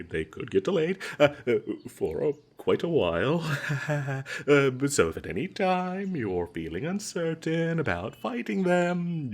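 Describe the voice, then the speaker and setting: A man playing a nervous captured adventurer in a comedic fantasy roleplay, polite and halting, trying to bluff and placate. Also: mid-range voice. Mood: sheepish, placating.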